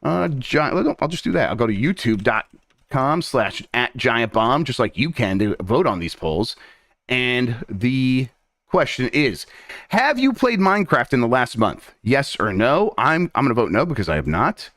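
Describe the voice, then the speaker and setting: Male co-host, young adult, loose rambling delivery, lively pitch, dead-dry sound. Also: a little slow, no echo. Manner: animated, rambling, many fillers. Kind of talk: casual podcast chat.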